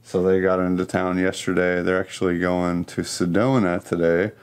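A man's voice: low-pitched, level tone, moderate pace, dry recording. A man in his thirties chatting casually to a camera held at arm's length as a travel vlogger. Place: inside a camper and outdoors. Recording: clear background noise on camera mic.

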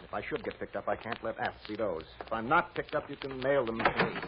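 An American actor playing a brisk, decisive, quick-thinking lawyer under threat in an old-time radio drama. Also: male voice, fairly low pitch, lightly acted. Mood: urgent. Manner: brisk, decisive, giving orders. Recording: noisy, muffled vintage radio recording.

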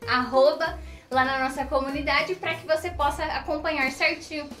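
A young Brazilian woman presenting a live children's show, animated and exclamatory, talking directly to her young viewers. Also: sits high, moderate pace. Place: decorated indoor studio set, standing. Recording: roomy echo, music behind.

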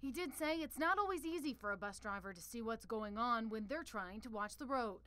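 A woman's voice: a little high, animated, fairly quick, pushed and bright, dry recording. A woman news reporter reading scripted narration for a television report.

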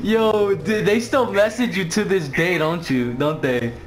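High-voiced young man over heavy noise. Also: measured pace. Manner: slangy, profane, teasing. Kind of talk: casual banter with friends.

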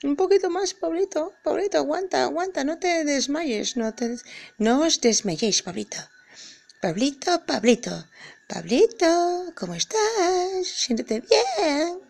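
A woman voicing a mischievous male koala plush toy, putting on a Spanish-from-Spain accent, squeaky and playful. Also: animated, unhurried, vocal fry, darkish tone, full character voice. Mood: cheering on. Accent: put-on Spain Spanish. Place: hotel room. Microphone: phone camera at arm's length.

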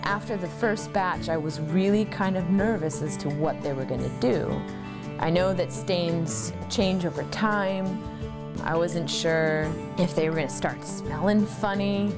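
A woman's voice: lowish voice, a little slow, loud music behind the voice. A woman in her thirties, an artist, talking thoughtfully about her work in an interview, recorded on a lapel mic in her cluttered home studio. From United States.

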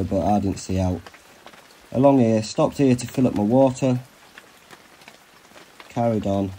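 A man's voice: on the low side, warm tone, slight background noise, rough recording. A man, vlogging casually on a long hike, chatting in a tired, rambling way and laughing often. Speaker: hiking vlogger. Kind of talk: casual vlog chat and map recap. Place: outdoors on a country road and moorland. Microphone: camera held at arm's length.

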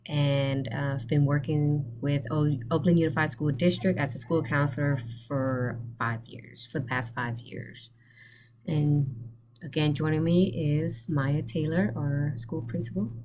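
A low-pitched woman speaks slowly and hesitantly over a video call, pausing on fillers as she introduces colleagues. She talks as a school counselor at a conference session. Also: fairly even intonation, darkish tone. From Vietnam. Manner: hesitant, many ums and restarts. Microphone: webcam on video call.

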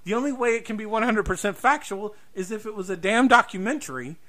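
A man's voice: high-pitched, animated, fast talker, bright, forceful tone. A man hosting a podcast, giving opinions in casual discussion, emphatic and given to swearing and repeating his points. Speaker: podcast host.

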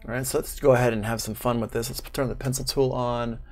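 A middle-aged man with a creaky, fry-laden voice teaches music production in a casual live demo. He is playful and mutters to himself as he works through each step. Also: mid-range voice, flat delivery, fast talker. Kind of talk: casual live software demonstration.

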